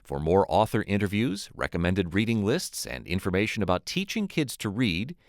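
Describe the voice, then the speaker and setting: A man reading scripted funding credits as an announcer, clear and even. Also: on the low side, moderate pace, no echo, studio quality.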